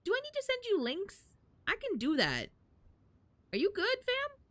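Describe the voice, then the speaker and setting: Bright-voiced woman streamer chatting casually. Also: very high-pitched, wildly swooping pitch, normal speed, dry recording. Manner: informal, slangy, talking to chat. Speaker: live streamer. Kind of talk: casual live-stream chat and game reaction.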